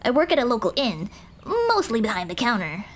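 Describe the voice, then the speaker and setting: A woman voicing a small, shy, kind-hearted goblin girl in an ASMR roleplay, speaking hesitantly and gently to comfort the listener. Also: on the high side, huge pitch swings, a little hiss or hum. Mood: playful, bashful. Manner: hesitant, timid, soft-hearted, halting. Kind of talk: ASMR roleplay, intimate one-sided dialogue. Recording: birdsong and outdoor ambience behind voice. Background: birdsong, nature ambience.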